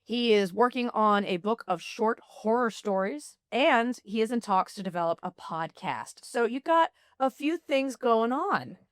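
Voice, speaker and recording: A woman's voice: on the high side, animated, a little slow, hardly any pauses, no echo, studio quality. A woman hosting an interview podcast, introducing her guest and chatting in an encouraging way. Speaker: podcast host.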